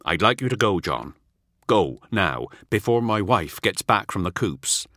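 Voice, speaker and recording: A man's voice: on the low side, big pitch swings, unhurried, sharp tone, no room sound, studio quality, heavily acted. A man voicing a tired former soldier turned farmer who curtly refuses to be drawn back. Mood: firm. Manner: firm, curt.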